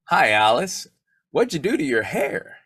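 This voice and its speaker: A man's voice: fairly high pitch, sing-song, unhurried, a bit bright, husky, no echo, studio quality, heavily acted. A man playing a sly, childlike, blustering husband in old-time radio comedy style, muttering and stammering. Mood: sheepish. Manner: muttering to himself, stammering, exclaiming.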